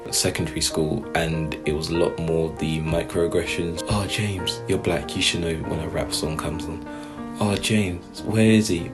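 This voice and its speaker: A young man from England telling his story to camera in a steady voice. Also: sits low. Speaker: interviewee. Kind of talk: personal testimony to camera. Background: guitar music.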